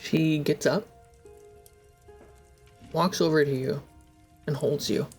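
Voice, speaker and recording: Slow, low-voiced woman game master. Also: steady tone, darkish tone, soft background music. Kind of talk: narrating and voicing characters in a tabletop game.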